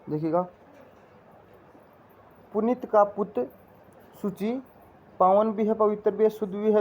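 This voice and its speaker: A man teaching an exam-preparation class in an instructional style, explaining in a repetitive, drilling way. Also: high voice. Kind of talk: instructional lecture with memory tricks. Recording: dull lo-fi audio.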